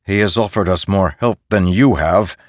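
A man's voice: sits low, normal speed, no echo, clean studio sound, close to an everyday voice. Man playing a defiant, weary commanding general. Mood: pressing, controlled. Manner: insistent, demanding, earnest. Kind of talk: dramatic fantasy audiobook character.

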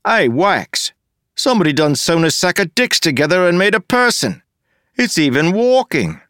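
A man voicing a crude, irreverent young male sidekick with rough slangy banter in an audiobook. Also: high voice, very animated, moderate pace, a bit bright, no echo, clean studio sound, pitched above the performer's own voice. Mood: mocking.

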